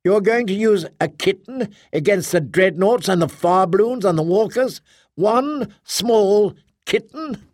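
A man voicing an impatient, irritable female official who talks in quick, incredulous bursts. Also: sits high, no room sound, clean studio sound, pitched above the performer's own voice, lightly acted. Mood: exasperated.

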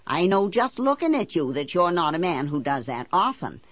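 A woman's voice: on the low side, sing-song, moderate pace, no room sound. Woman acting a blunt, embittered island housewife, wildly swooping. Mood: insistent, pleading. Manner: folksy, blunt, indignant, ungrammatical country talk. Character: blunt, embittered working-class island housewife telling her story. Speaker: audiobook narrator. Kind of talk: first-person storytelling in character.

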